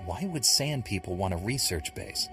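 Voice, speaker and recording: Blunt game knight, low voice, measured, skeptical, music behind. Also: male voice, big pitch swings. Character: stern, skeptical male knight hero. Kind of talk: video game cutscene acting.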